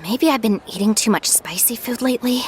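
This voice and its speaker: A woman's voice: on the high side, a little fast, darkish tone, a little hiss or hum, close to an everyday voice. A woman playing a polite, composed young woman in a video game. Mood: flustered.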